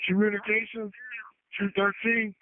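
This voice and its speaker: Guy calling in on a work radio, kinda high voice, dry and tinny, halting casual delivery. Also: level tone, no echo. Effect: two-way radio. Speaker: public works road crew driver. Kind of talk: two-way radio check-ins. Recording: tinny narrowband radio transmission.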